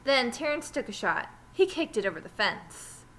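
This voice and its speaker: A woman teacher reading a children's story aloud with animated, emphatic delivery. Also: on the high side, normal speed, poor audio.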